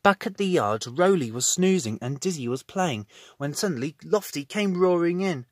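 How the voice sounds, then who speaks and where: A man narrating a children's storybook in an expressive reading style. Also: on the high side, moderate pace, no echo, studio quality.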